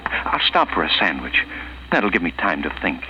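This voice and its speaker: A man's voice: low-pitched, sing-song, moderate pace, heavy background noise. An actor playing a haunted, self-pitying man who killed his lover, in brooding 1940s radio mystery style. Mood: agitated, brooding. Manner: brooding, agitated inner monologue. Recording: muffled vintage 1940s radio, music behind.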